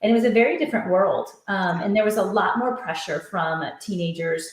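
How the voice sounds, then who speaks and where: A woman's voice: medium pitch, a little fast. Earnest woman speaking in a roomy space. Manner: earnest, speaks in long flowing run-on sentences. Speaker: author and education coach. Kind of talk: podcast interview.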